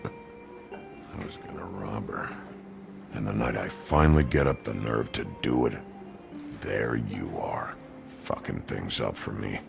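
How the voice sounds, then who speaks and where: A man playing a gruff, down-and-out woodsman, a bitter and self-loathing brute with a gravelly voice, in a dramatic video game. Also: rumbling low, huge pitch swings, slow, pauses often, over music, heavily acted. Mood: bitter, brooding. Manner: coarse, swearing, rambling.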